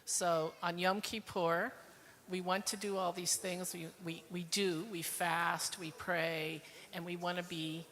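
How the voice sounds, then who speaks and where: Lower-pitched woman in an echoing hall. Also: level tone, a little slow, a bit bright, a little hiss or hum. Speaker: congregant sharing a reflection. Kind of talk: reflective spontaneous comment. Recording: echoey hall with long reverb.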